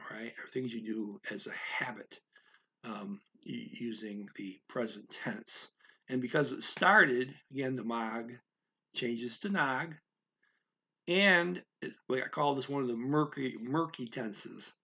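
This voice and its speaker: A man's voice: mid-range voice, pushed and bright, husky. A man in his sixties, in a small room at home, filmed by a webcam at his desk, explains grammar in a casual lesson, repeating key words and checking in with "okay" and "right.". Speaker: language teacher.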